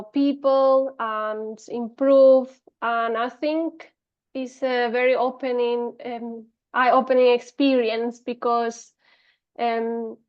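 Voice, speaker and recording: Slow-talking Spanish woman, hesitant. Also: sits high, muffled recording. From Spain. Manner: hesitant, many fillers and restarts. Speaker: podcast guest. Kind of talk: casual interview talk.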